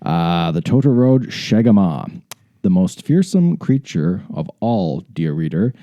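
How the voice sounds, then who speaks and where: Guy with a low dark mellow voice, real close and dry, reads stuff out and wisecracks with swearing. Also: big pitch swings, measured pace, no echo, highs cut off. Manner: irreverent, profane asides. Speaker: podcast co-host reading a story aloud. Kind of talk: reading aloud mixed with casual banter.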